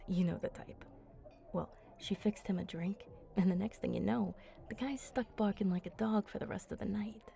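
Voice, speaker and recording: A woman playing a flirty, confident vampire in an intimate ASMR roleplay, talking softly and playfully up close and often dropping into breathy murmurs. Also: mid-range voice. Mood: casual, amused. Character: flirty, teasing, confident female vampire at a bar. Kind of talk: ASMR roleplay, intimate one-sided chat.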